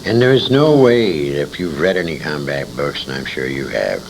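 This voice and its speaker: Very deep, gravelly older American man. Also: animated, moderate pace. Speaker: interviewee, war veteran and writer. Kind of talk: conversational war storytelling. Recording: noisy amateur recording with room ambience.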